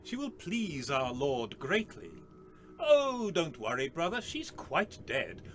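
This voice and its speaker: High-pitched, slow, fanatical cult leader. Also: male voice, huge pitch swings, a bit bright, a little hiss or hum, full character voice. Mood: gleeful menace. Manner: theatrical, unctuous, preaching. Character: fanatical male cult leader, ceremonious, gleefully sinister. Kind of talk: radio drama acting.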